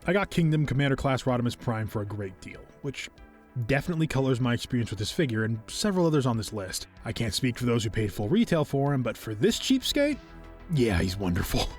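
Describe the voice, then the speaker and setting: A man hosting a video, reading a scripted review in an enthusiastic, expressive and earnest way. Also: mid-range voice, quick pace. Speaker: video host, toy collector reviewing figures. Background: faint music.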